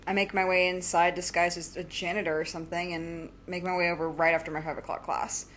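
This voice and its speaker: A woman's voice: lowish voice, flat delivery, fast talker, fairly bright tone, a little hiss or hum, close to an everyday voice. A young woman playing a driven, defiant student supervillain and inventor in an audio drama, speaking with insistent earnestness. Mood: determined.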